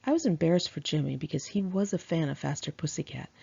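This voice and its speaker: A woman narrates her own memoir with a low, gravelly fry in her voice. She is candid and sassy, talking as if chatting to friends. The tone is dark and mellow, caught on a slightly dull home recording. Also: fast talker. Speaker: author reading own memoir aloud.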